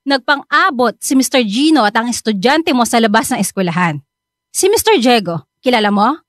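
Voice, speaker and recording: Stern, high-pitched school principal. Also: female voice, very animated, moderate pace, dry recording, studio quality. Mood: stern, disappointed. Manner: formal, reproachful. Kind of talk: melodramatic radio drama acting.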